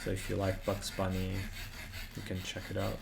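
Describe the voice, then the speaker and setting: A man from Italy chatting casually while streaming a game run, relaxed and quick to laugh, with background noise. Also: sits low, creaky. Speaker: speedrunner streamer. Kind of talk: casual live-stream commentary.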